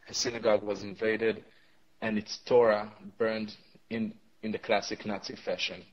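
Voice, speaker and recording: A young man with a fairly low voice speaks English as his third language, his first being Arabic. He answers in a hesitant, conversational way, full of pauses and restarts. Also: level tone, highs cut off. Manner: hesitant, many fillers and restarts. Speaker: interview guest.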